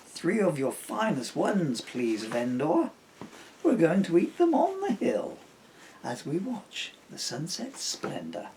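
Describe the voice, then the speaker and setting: A man's voice: on the high side, huge pitch swings, slow, a little mellow, slight background noise, pitched above the performer's own voice, exaggerated voice. A man voicing a friendly, grateful pirate in a children's story poem. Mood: cheerful.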